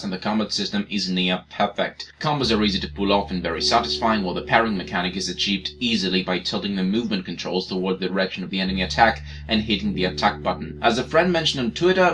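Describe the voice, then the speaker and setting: A man whose first language is Greek, reading a scripted game review in a steady, opinionated, matter-of-fact way. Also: sits low, a little hiss or hum. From Greece. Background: music.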